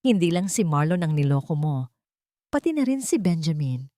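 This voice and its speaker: A woman narrator voicing a hurt, dignified bride in an audio storybook, firm, measured and accusing. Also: sits low, very animated, mellow, no room sound, studio quality. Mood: cold anger.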